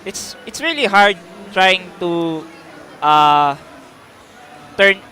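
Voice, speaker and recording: Hesitant bright-voiced male game editor. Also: high voice, expressive, drawn-out words. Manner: hesitant, many fillers.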